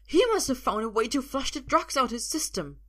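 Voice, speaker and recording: A woman's voice: high voice, sing-song, fairly quick, fairly bright tone, pitched above the performer's own voice, exaggerated voice. A woman voicing a whiny, nervous man, an underling in a story. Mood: flustered. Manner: whining.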